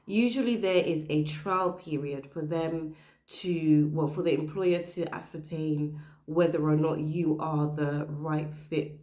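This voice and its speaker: Low croaky-voiced woman giving career tips, sounds like she's getting over the flu, roomy echo. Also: a little mellow. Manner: earnest, emphatic, stressing points with "okay?" and "you know". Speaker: career advice channel host. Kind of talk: direct-to-camera advice talk.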